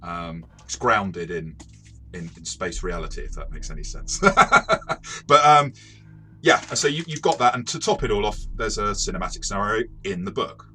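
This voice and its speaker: Very deep-voiced man with a bright, forceful edge. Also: expressive, moderate pace, a little hiss or hum. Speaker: tabletop game reviewer and game master. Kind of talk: casual two-person review chat.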